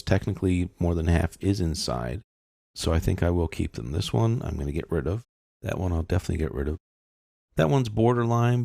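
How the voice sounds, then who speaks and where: A man giving an informal, step-by-step software tutorial, explaining and thinking aloud as he works. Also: deep voice, gravelly, no echo, studio quality. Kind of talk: step-by-step screencast narration, unscripted.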